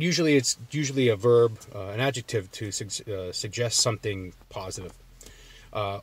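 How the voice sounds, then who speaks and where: Rambling Boston man vlogging from his car. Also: medium pitch. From Massachusetts. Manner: rambling, loose, with many fillers and restarts. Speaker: jerky reviewer. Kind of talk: casual vlog chat. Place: parked car.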